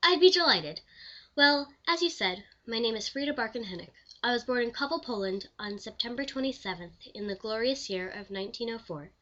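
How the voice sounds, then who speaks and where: A woman playing an elderly, proud pioneering lawyer and commissioner in a scripted interview, speaking formally and deliberately. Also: fairly high pitch, lively intonation, pushed and bright, highs cut off. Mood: gracious. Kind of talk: scripted mock interview, reenacted historical figure.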